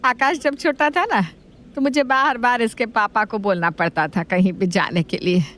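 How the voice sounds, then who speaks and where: Lady doing a sweet gullible grandma in a family cartoon, homely, a bit high pitched. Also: normal speed, a bit bright, a little hiss or hum, lightly acted. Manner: homely, indulgent. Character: kindly, gullible, doting grandmother and mother-in-law. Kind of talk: animated family story dub.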